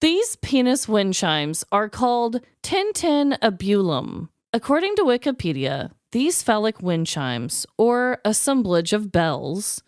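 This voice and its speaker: A woman with a fairly high, very expressive voice co-hosts a comedy podcast. She talks casually and swears freely, acting out dialogue as she goes, recorded close and dry. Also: dry recording. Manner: animated, sweary, acts out dialogue. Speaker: podcast co-host. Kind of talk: casual comedic storytelling and banter.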